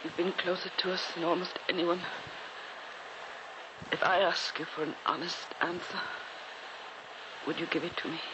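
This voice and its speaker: Through clear background noise on an old radio recording comes an actress as a frail noblewoman near death. She is proud and secretive, with a weary, formal, dramatic delivery. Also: lowish voice, steady tone, moderate pace, long pauses, natural voice. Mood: weak, imploring. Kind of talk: 1940s radio acting.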